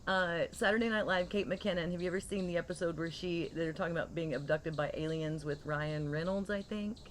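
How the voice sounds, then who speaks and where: A woman in her thirties or forties co-hosting a casual talk show with relaxed, conversational banter. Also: lowish voice, a little fast. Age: 30s or 40s. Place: screened porch with open greenery outside. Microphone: shared tabletop condenser microphone. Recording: clear outdoor ambient noise.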